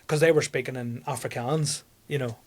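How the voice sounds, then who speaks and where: A man's voice: medium pitch, fairly even intonation, normal speed. A young man, a comedian telling a story on a podcast in a rambling way, repeating words and laughing often. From Northern Ireland. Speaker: comedian guest.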